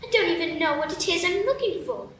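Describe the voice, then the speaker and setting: Woman doing a prim curious little girl, super high voice, echoey room and far from the mic. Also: lively intonation, quick pace, slight background noise. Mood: puzzled. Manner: wondering, earnest and prim. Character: curious, polite young girl lost in a strange land. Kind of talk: live radio play acting. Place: live stage.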